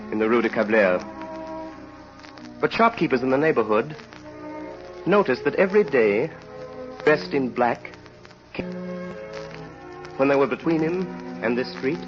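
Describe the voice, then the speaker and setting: An actor playing a grave, impassioned storyteller, narrating a tragedy solemnly and theatrically in an old radio drama. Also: male voice, medium pitch, natural voice. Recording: muffled old radio recording.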